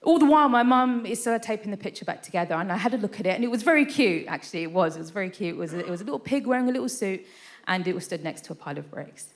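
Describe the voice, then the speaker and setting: Lively chatty woman doing stand-up. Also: medium pitch, very fast. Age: 30s. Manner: chatty, self-deprecating, frequent "right?" asides. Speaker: stand-up comedian. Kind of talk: stand-up set. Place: live theatre stage with audience.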